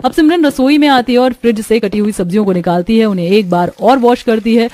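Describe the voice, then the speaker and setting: High-pitched woman narrating a cartoon story. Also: fast, dark tone, soft background music.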